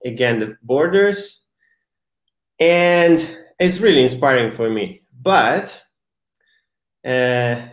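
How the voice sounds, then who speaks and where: Slow Italian-accented man host, echoey room. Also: a little high. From Italy. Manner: slow, hesitant, with many fillers. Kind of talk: live online interview.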